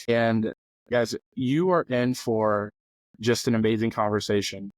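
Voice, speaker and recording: A young man hosts a podcast in an upbeat, chatty way, mixing in small jokes as he talks. His voice sits at an ordinary middle pitch. The sound is close and dry, with no room around it. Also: no echo, low-quality recording. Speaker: podcast host. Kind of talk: casual podcast interview.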